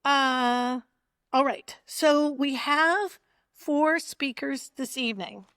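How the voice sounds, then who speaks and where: Hesitant high-voiced woman moderator. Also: takes it slowly, fairly bright tone, dry recording, clean studio sound. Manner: hesitant, many ums and restarts. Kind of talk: introducing panel speakers. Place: community meeting room.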